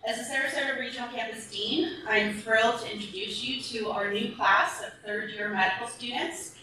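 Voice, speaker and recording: A woman's voice: fairly high pitch, steady tone, normal speed, pushed and bright. A woman speaking as a medical campus dean, proudly introducing new students at a public board meeting. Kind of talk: formal public remarks. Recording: echoey meeting room from far off.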